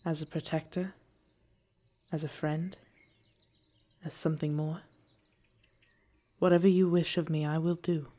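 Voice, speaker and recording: A woman's voice: sits low, little pitch movement, measured pace, long pauses, dark tone. A woman playing an earnest, devoted dragon princess and commander in an audio drama, speaking in solemn, formal phrases. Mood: tender. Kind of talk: scripted audio roleplay drama.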